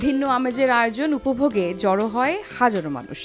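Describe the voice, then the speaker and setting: Bangladeshi woman narrating over loud music. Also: a little high, expressive, fast talker. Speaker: TV reporter. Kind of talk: scripted news narration.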